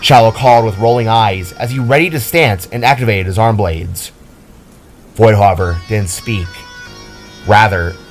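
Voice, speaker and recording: Man narrating a dramatic story over music. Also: fairly low pitch.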